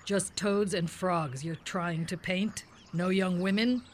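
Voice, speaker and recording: A woman playing a terse, deadpan police detective who narrates in a parody of a radio crime drama. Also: fairly low pitch, slow, slight background noise. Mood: businesslike. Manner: clipped, deadpan.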